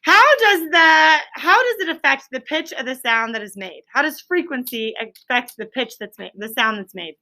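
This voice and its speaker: A woman's voice: high-pitched, animated, moderate pace, few pauses, bright, forceful tone. A woman teaching an elementary class, quizzing pupils in a firm, directive manner. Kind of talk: classroom lesson with question and answer.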